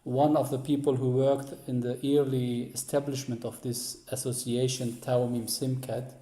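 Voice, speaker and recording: A man, recounting family history as an interview guest in halting English, searching for words. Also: mid-range voice, little pitch movement, mellow. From Lebanon. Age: 40s. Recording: roomy, echoey sound.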